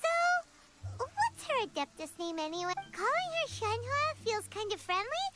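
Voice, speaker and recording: Game fairy sidekick: extremely high, childlike, swooping intonation, chirpy and chatty, over music. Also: female voice, very animated, unhurried, heavily acted. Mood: curious, chatty. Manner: speaks of herself in third person, exclamatory. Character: small childlike fairy companion, chirpy, chatty, food-loving. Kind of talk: video game voice acting.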